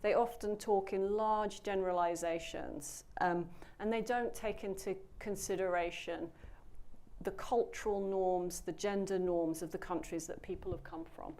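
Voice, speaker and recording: A woman's voice: mid-range voice, steady tone, a little slow, darkish tone. A woman introducing a panel to a live audience, speaking earnestly and emphatically with frequent fillers. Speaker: panel moderator, program founder. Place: event room with an audience.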